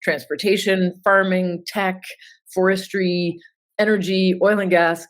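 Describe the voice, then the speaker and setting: Woman, forties, deep-pitched, informal, conversational. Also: fairly even intonation, measured pace. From Toronto, Canada. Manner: chatty and informal, with filler words. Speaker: interview guest, sustainability consultant. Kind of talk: conversational interview answers.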